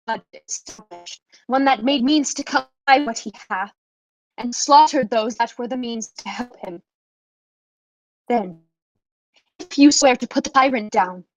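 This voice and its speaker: A young woman playing a noble, devout war leader in a Shakespearean radio play, speaking in a measured, oratorical way. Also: sits high. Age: young adult. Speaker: acting student. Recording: dull, lo-fi sound.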